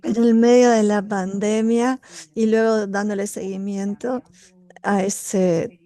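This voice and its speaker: A woman interpreting a colleague's words aloud in steady simultaneous interpretation on a video call. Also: medium pitch, slow, no echo.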